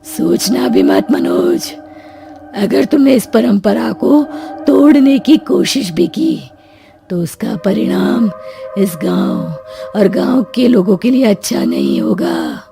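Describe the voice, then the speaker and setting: A woman playing the stern, menacing ghost of a king in an animated folk tale, speaking slowly in threatening commands. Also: mid-range voice, vocal fry, soft background music, exaggerated voice. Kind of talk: Hindi animated moral story dialogue.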